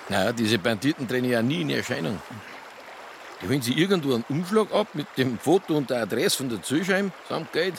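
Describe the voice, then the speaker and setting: An actor playing a grumpy, reluctant Bavarian police detective, blunt and grumbling, with a Bavarian accent in a radio drama. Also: male voice, medium pitch, expressive, brisk, vocal fry, slight background noise. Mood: dismissive, grumbling. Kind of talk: naturalistic radio drama acting.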